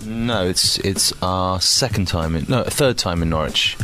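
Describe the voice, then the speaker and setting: Low-voiced, hesitant man in interview. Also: a little slow, sharp tone. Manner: hesitant, repeats words as he searches. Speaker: band member being interviewed. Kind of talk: casual interview chat. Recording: music behind the voice.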